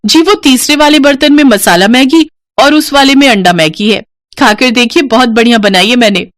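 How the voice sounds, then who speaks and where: A woman voicing a naive, eager young bride in a story, quick to reassure. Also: fairly high pitch, dry recording, clean studio sound, voice pushed up, close to an everyday voice. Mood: sheepishly proud.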